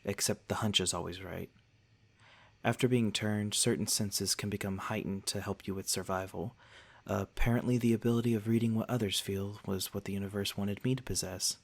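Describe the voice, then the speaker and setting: A man playing a courteous, protective vampire lord in a soft-spoken ASMR roleplay, with formal, genteel wording and a gentle, reassuring manner. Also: lowish voice, creaky. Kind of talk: ASMR roleplay, speaking softly to the listener.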